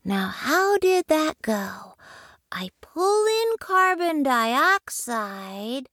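Bright, bouncy little boy tree for a storybook, played by a woman, slow and sing-song. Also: high voice, big pitch swings, dry recording, studio quality, pitched above the performer's own voice, heavily acted. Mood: puzzled. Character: eager young boy tree, earnest schoolkid. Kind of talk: storybook character voice.

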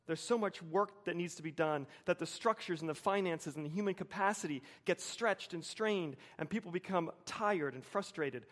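An American man in his forties preaching a sermon with personal anecdotes, measured, with emphatic pauses and repeated phrases. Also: high voice, a bit bright. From United States, suburban Wilmington. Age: 40s.

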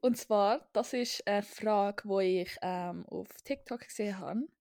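A young woman in her twenties co-hosts a relaxed chat at a middle pitch. She is playful and drops English phrases into her talk. The recording is dry and close. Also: a little slow, no room sound. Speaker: podcast co-host. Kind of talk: casual chat.

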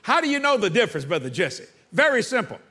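Preacher man, high bright voice, call-and-response sermon, quizzes the congregation. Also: very animated, fast, husky. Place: church.

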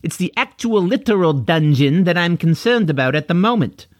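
Man voicing a blunt, high-pitched bossy girl. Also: animated, normal speed, no room sound, studio quality, close to an everyday voice. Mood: impatient. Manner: blunt, impatient. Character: brisk, bossy, sarcastic girl prisoner. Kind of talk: children's audiobook character voice.

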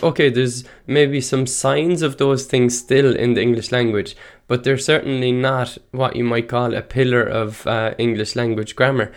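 A man from County Clare with an Irish accent, whose first language is Irish, hosting a casual solo show. He thinks aloud and drifts between tangents, correcting himself and laughing lightly as he goes. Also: mid-range voice. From Ireland. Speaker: podcast host.

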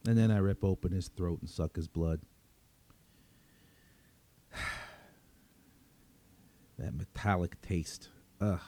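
A man narrating a dramatic story in the first person as a cynical, wry, menacing vigilante vampire, with sardonic, slangy delivery and dramatic pauses. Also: deep voice, mellow, slight background noise. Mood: grim, wry.

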